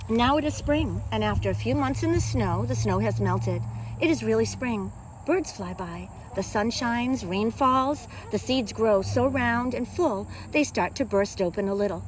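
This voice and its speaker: A woman reading a picture book aloud to young children as a kindergarten teacher, in an expressive, teacherly way. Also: mid-range voice, slight background noise, rough recording. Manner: expressive, simple teacherly delivery with questions to young listeners.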